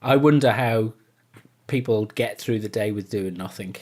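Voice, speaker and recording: A man hosting a podcast in casual chat, often halting and repeating words and phrases as he talks. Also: on the low side, a little hiss or hum. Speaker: podcast host.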